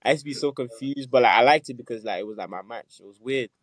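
A man's voice: mid-range voice, quick pace, no echo. Man from nigeria, music guest, laid-back chat, lots of laughs and yeah, dry sound. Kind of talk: casual interview chat.

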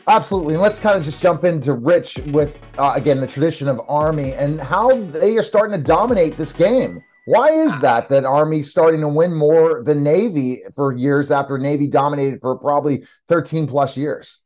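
A man's voice: a little high, animated, moderate pace, few pauses, a little mellow, soft background music. A man hosting a conversational sports radio interview, asking rambling questions that pile up as he goes. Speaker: interview show host.

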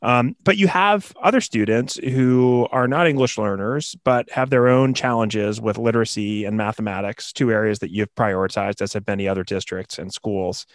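A male interviewer with a lowish voice lays out a long question at a measured pace. He pauses now and then with an um, and the sound is close and dry. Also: dry recording.

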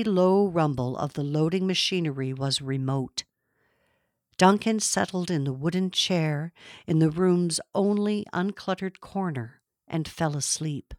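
A woman narrating a literary story from a script in a measured, expressive storytelling manner. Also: on the low side, dry recording, clean studio sound. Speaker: audiobook narrator.

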